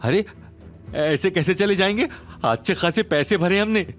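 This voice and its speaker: Man putting on a haughty, higher-pitched complaining woman. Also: animated, measured pace, soft background music. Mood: indignant. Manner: haughty, accusing, whining. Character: arrogant, indignant, complaining middle-aged women, two sisters.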